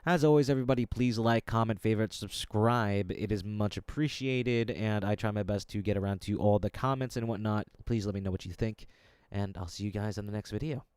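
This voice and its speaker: A man's voice: fairly low pitch, a little fast, a little mellow, clear, resonant tone, no room sound. A man speaking informally and appreciatively to his subscribers as the host of a voice-acting channel. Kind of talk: casual intro addressed to subscribers.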